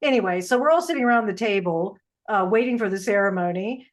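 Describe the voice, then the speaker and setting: A woman's voice: mid-range voice, lively intonation, quick pace. A middle-aged woman hosting a podcast, telling anecdotes in a playful, irreverent, casual way. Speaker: podcast host.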